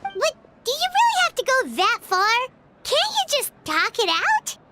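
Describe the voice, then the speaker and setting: A woman voicing a small, chirpy fairy girl companion with a squeaky childlike voice in a video game. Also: wildly swooping pitch, measured pace, bright, forceful tone, slight background noise, full character voice. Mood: indignant.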